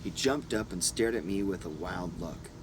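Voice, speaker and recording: A man narrating a first-person adventure story as an audiobook reader, in short deliberate phrases. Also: low-pitched, lively intonation, background noise.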